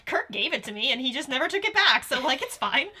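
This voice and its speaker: A woman's voice: sits high, sing-song, fast, background noise. A young woman giving casual commentary on a TV episode as a longtime fan. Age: young adult. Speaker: reaction show guest and longtime fan. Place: small cosy room indoors.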